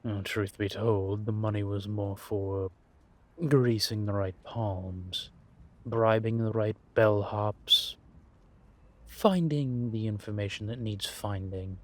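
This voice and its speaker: A man playing a centuries-old vampire spymaster in a roleplay audio drama, patient and wry, speaking in measured phrases with deliberate pauses. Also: lowish voice, steady tone. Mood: sly, explaining.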